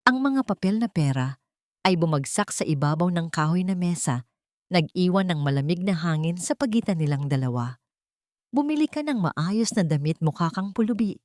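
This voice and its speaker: Low-voiced woman narrating a dramatic story. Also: darkish tone, no echo, studio quality.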